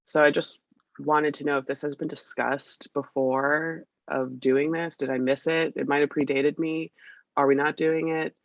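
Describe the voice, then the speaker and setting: Woman with a scratchy, gravelly cold voice. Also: low voice, little pitch movement, moderate pace, dry recording. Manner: chatty, hesitant, many fillers. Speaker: meeting presenter. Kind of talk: casual work meeting update. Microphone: video call. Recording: dull, dry video-call audio.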